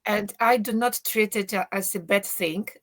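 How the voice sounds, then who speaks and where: A woman's voice: medium pitch, moderate pace. Woman, chatty and hesitant. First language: Polish. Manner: thinks aloud with many fillers and restarts, joking. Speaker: guest, university teacher. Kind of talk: casual conversational English, non-native.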